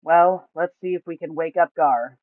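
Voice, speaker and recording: A woman's voice: sits low, normal speed, no room sound, phone-quality sound, lightly acted. A woman reads as a cheerful swordsman in a fantasy storybook. He is casual and lightly teasing, in an everyday voice heard close and dry.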